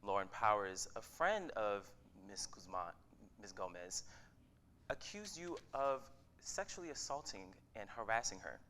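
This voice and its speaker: A man's voice: on the low side, big pitch swings, a little slow, pushed and bright, a little hiss or hum, full character voice. A man in a staged reading playing a pushy news interviewer who asks insistent, probing questions. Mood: formal, probing.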